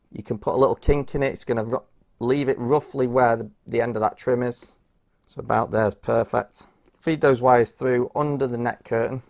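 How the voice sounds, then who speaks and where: A man's voice: medium pitch, creaky, a little mellow. A man talking through a hands-on task step by step in an informal, practical, instructional way. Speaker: presenter of a how-to product guide. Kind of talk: hands-on step-by-step tutorial narration.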